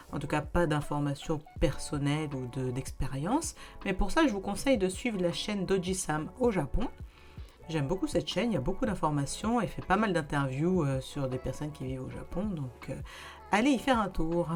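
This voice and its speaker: A young adult woman with a somewhat low voice hosts her own vlog in a relaxed, talkative way, speaking directly to her viewers as she walks them through practical advice. Soft music plays faintly underneath her voice. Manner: chatty, conversational, addresses viewers directly. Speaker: YouTuber giving practical advice. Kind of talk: casual explanatory vlog.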